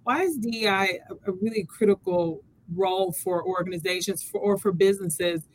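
A woman's voice: medium pitch, moderate pace, slight background noise, low-quality recording. A woman from Texas hosting an interview, curious and asking long winding questions. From Texas, USA. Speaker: podcast host. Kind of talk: casual interview talk.

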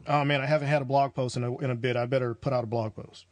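A man's voice: medium pitch, steady tone, rapid-fire, no echo. A man hosting an interview podcast in a casual, informal, chatty way, acting out quotes as he talks. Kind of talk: casual chat.

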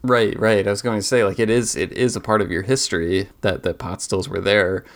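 A man hosts a podcast interview, working his way through long, winding questions. He often restarts his sentences as he goes. His voice sits a touch low. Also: steady tone, fairly quick. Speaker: podcast host.

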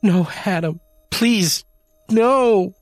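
A man doing a shrill, desperate young woman. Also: wildly swooping pitch, slow, dry recording, faint music behind, full character voice. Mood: desperate shrieking. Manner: shrieking, pleading in a raised falsetto.

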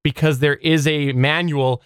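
A man's voice: a little high, steady tone, a little slow, smooth, dry recording, clean studio sound. A man co-hosting a podcast, talking through news in a conversational style. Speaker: podcast co-host.

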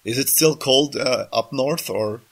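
A sick man hosts a casual podcast, breaking off to cough. He rambles and keeps restarting and correcting himself mid-thought. His voice sits at an ordinary middle pitch. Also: a little slow, gravelly, husky. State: sick, coughing, voice just back. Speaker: podcast host.

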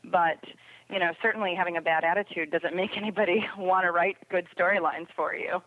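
A woman's voice: fairly low pitch, fairly even intonation, a little fast, dry recording, phone-quality sound. A woman giving casual, opinionated commentary as a podcast guest, heard over a remote call connection. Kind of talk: casual opinionated panel chat. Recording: thin band-limited phone or radio-like line.

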